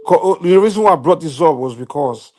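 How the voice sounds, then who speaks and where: A man's voice: on the high side, animated, fast talker, darkish tone. A man from outside the United States, asking polite questions in a group debate. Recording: faint background noise with clicks.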